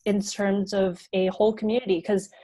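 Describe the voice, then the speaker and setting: A woman's voice: medium pitch, little pitch movement, moderate pace, warm tone. A teenage girl from Hong Kong answering interview questions earnestly, with frequent "you know" fillers. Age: teens. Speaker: youth fund founder, guest.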